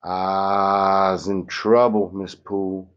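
A man's voice: deep voice, flat delivery, drawn-out words, clean tone, highs cut off. A man voicing a big, frightened Southern man on the run, begging in a shaky, stammering voice.

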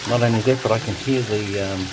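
A man casually narrating his home cooking, relaxed and chatty with frequent ums, filmed on a phone over the stove. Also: on the low side, fairly quick. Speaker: home cook vlogger. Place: home kitchen. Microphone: phone camera held over the stove. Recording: noisy home kitchen phone recording. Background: sizzling and bubbling pots.

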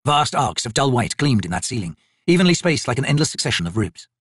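A man's voice: medium pitch, big pitch swings, fast, breathy edge, no echo, clean studio sound. A man reading dramatic fantasy narration as an audiobook narrator.

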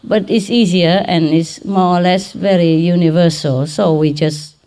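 A middle-aged woman with a Vietnamese accent giving an informal lecture in simple, halting English, checking in with her listeners. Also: fairly low pitch, fairly even intonation, warm tone. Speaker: spiritual teacher giving a lecture. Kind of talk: informal spoken lecture to a gathering. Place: large hall or tent with seated audience. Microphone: microphone on a boom stand.

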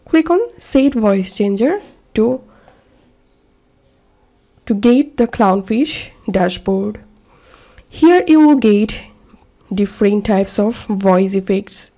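A woman narrating a software tutorial step by step in a plain, instructional manner. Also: mid-range voice, lively intonation, slow, long pauses.

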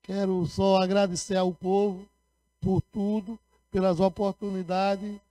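A middle-aged man giving a council floor speech into a handheld microphone, sending repetitive shout-outs to rural communities while gesturing widely, with a sore throat. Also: high-pitched, level tone, a little slow, dry recording, soft background music. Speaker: city councilman. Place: municipal council chamber, indoors.

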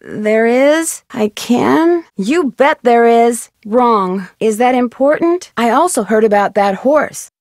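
An actress playing a curious, upbeat young woman detective in a video game, with a friendly, clearly articulated delivery. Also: a little high, expressive, takes it slowly, few pauses, a little mellow, no echo, studio quality. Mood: casual, curious. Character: Nancy Drew, curious, upbeat young woman amateur sleuth.

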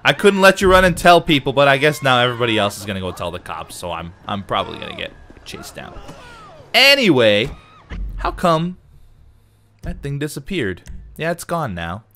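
A man's voice: mid-range voice, normal speed, faint music behind. A man with a cold and a slightly nasal voice, chatting casually as he plays a video game for viewers. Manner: chatty, excitable, talks to viewers. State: has a cold, a bit nasal. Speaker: let's play host. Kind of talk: casual gameplay commentary.

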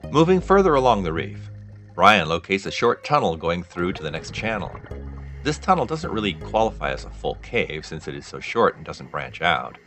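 A man reading scripted nature documentary narration as a diver, in an explanatory manner with measured sentences. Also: sits low, big pitch swings, fairly bright tone, soft background music.

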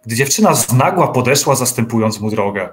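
A man narrating an audio drama in an even, measured literary reading. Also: mid-range voice, faint music behind.

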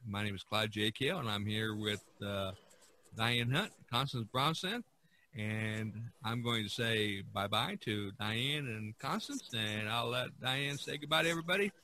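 A man's voice: fairly low pitch, expressive, unhurried, pushed and bright, slight background noise. A man hosting a podcast, chatting casually in a rambling, emphatic way. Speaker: podcast host.

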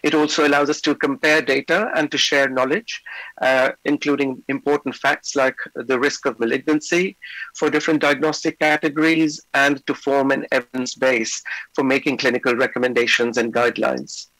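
Middle-aged male lecturer, slightly hoarse, steady filler-laden delivery, dry close sound over a video call. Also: medium pitch, no room sound, poor audio. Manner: measured, many fillers. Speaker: invited lecturer. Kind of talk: remote conference lecture. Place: indoor office.

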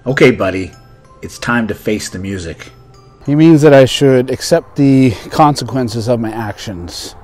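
A man's voice: mid-range voice, measured pace, a little mellow, soft background music. A man in his thirties hosting an English lesson, acting out conversational skit lines and explaining idioms as a teacher. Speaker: English teacher and video host.

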